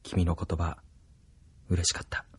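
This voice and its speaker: Low, husky, remorseful soldier. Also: male voice, completely flat delivery, unhurried, no echo, heavily acted. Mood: sorrowful, tender. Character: remorseful soldier, gentle and sorrowful with a woman. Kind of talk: intimate anime audio drama acting.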